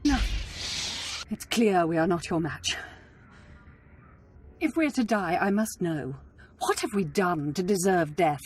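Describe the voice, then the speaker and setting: Proud Sith matriarch for a game: smoky, fairly low, imperious, calculating, intense. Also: female voice, lively intonation, slow pace, pauses often, slightly rough, exaggerated voice. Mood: resigned. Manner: haughty, intense. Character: proud, scheming Sith noblewoman and mother. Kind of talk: video game cutscene acting.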